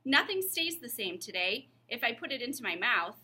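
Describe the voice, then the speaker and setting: Storybook schoolboy, female reader: high, bright, pleading, insistent, earnest. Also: sing-song, normal speed, slight background noise, heavily acted. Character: young schoolboy, earnest, pleading and sorry for himself.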